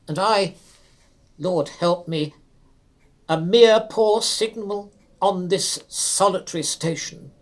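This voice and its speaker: A man's voice: sits high, pitched above the performer's own voice. A man voicing an anguished, conscientious railway signalman in a storybook, pleading in agitation. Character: anguished, conscientious dark-haired railway signalman, feverishly distressed.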